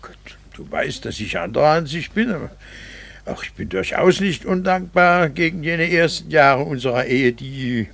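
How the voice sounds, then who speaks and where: An actor playing a bitter, condescending middle-aged husband in a theatrical 1960s radio drama. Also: male voice, on the high side, expressive, gravelly, fairly bright tone, dry recording. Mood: faltering, evasive. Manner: sardonic, theatrical, drawn-out rhetorical phrasing. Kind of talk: 1960s German-language radio drama acting.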